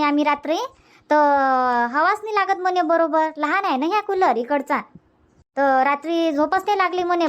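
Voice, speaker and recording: A woman's voice: high-pitched, lo-fi sound, natural voice. A woman voicing a bossy, fussing village mother-in-law in a cartoon comedy, colloquial and repetitive, with a rural Varhadi Marathi accent. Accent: rural Varhadi Marathi from Vidarbha.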